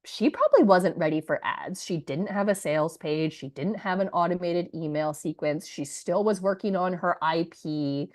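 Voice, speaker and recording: Hoarse, chatty woman coach, low voice. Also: moderate pace, hardly any pauses. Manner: chatty, energetic, many "like" and "right" asides. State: hoarse from a cold. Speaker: business coach presenting her launch story. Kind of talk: live presentation to an audience.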